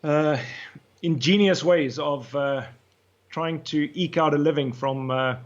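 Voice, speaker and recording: A man's voice: on the high side, slow, highs cut off. A man from South Africa guesting on a video call, talking casually with many hesitations. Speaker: guest wedding photographer. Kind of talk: casual interview chat.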